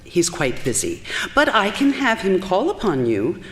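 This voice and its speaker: A woman playing a genteel, proud, sharp-tongued society wife in a theatrical audio drama performed live. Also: mid-range voice, wildly swooping pitch, measured pace. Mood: flustered. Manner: theatrical, polite then indignant. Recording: live theatre with faint noise.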